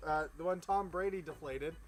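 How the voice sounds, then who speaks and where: A rowdy teenage high school jock acted out by a man reading a story, crude and hyped-up. The slangy bro talk sits a bit high, with faint music behind it. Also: moderate pace, natural voice. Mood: rowdy, excited.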